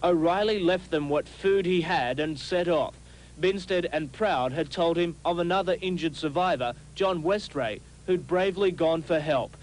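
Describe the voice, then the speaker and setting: A man's voice: fairly high pitch, a little hiss or hum, rough recording. A man with an Australian accent narrating a scripted television news documentary as a reporter. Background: music.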